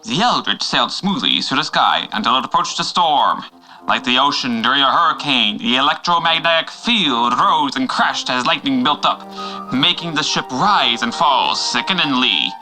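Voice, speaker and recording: A man's voice: mid-range voice, expressive, normal speed, rough recording. A man narrating an adventure serial in a scripted, dramatic storytelling style. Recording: loud music behind. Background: loud music.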